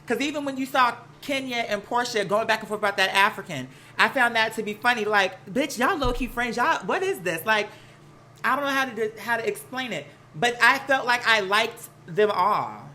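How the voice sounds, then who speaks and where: A live stream host with an American accent chatting casually and gossiping, sassy and animated, slangy and rambling from one aside to the next. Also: mid-range voice, normal speed, a little hiss or hum. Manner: sassy, slangy, peppered with "girl" and "honey". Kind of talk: casual live chat to viewers, gossipy commentary.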